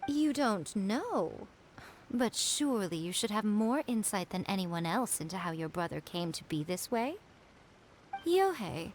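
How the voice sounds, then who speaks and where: Imperious game priestess acted by a woman, mid-pitched, measured, condescending, teasing menace, some background noise. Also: natural voice, poor audio. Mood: probing. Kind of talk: video game dialogue.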